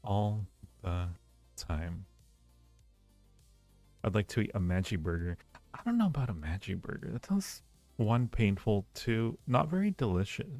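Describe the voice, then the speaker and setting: Low-voiced man chatting in a roomy space. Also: big pitch swings, measured pace, long pauses, a little mellow. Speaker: livestream host. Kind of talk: casual chat with live stream viewers, rating ideas. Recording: roomy echo, faint music behind. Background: faint music.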